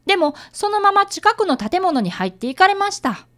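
A woman's voice: very high voice, big pitch swings, moderate pace, fairly bright tone, heavily acted. A woman playing an earnest, polite young boy apprentice detective in a radio drama, narrating and talking formally. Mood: earnest, observant.

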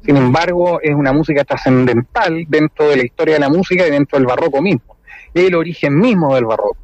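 A man's voice: a little high, quick pace, darkish tone, no echo. A man speaking conversationally as a guest in a radio interview over the phone. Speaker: musician and ensemble director, interview guest. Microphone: telephone. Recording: dull phone-in line, dry.

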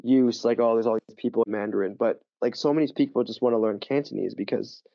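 A man, a guest on an interview show, telling stories in a lively, chatty way with many fillers and quick restarts. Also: medium pitch, no room sound, lo-fi sound. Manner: animated storytelling, full of fillers and quick restarts. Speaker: podcast guest, language teacher. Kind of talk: casual interview chat.

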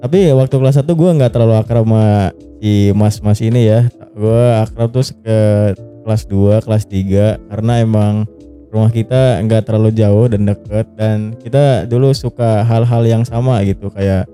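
A man's voice: fairly low pitch, steady tone, moderate pace, mellow. A young man from Java hosting a podcast in casual slang, teasing, joking and swearing freely. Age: young adult. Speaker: podcast host. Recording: music playing behind the voice. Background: faint music.

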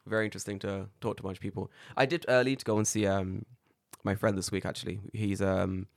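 A man with a slightly raspy, lowish voice rattles through a casual podcast chat at high speed. He tells stories by acting out what people said, swearing freely, recorded close and dry. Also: quick pace, dry recording. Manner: animated storyteller acting out quoted dialogue, swears freely. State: slightly raspy voice after karaoke. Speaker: podcast co-host.

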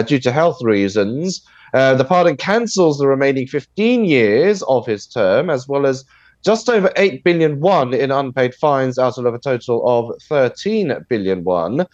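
A man with a cold, sounding nasal and husky, explaining news items on a video call with a steady delivery full of fillers. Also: fairly high pitch, dry recording, lo-fi sound. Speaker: news commentator. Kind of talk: radio news discussion.

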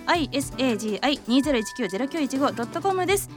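A woman's voice: sits high, sing-song, racing, over music. A woman hosting a web radio show, chatting casually and teasing her co-host. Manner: chatty, teasing, laughs easily. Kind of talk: casual chat.

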